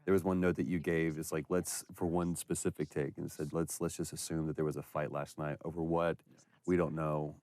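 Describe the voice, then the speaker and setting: Man, extremely low voice, casual commentary, enthusiastic, effusive, conversational. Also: little pitch movement, a little fast, darkish tone. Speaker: game actor giving commentary. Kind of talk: casual behind-the-scenes commentary.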